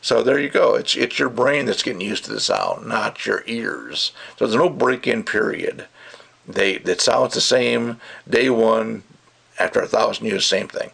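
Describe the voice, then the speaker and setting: An older man with a gravelly, creaky voice giving a casual, rambling review in an opinionated, emphatic way. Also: fairly low pitch, sing-song, a little slow, fairly bright tone. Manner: opinionated, rambling, emphatic, acts out mocking quotes. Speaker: audio gear reviewer. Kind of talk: casual ranting video review.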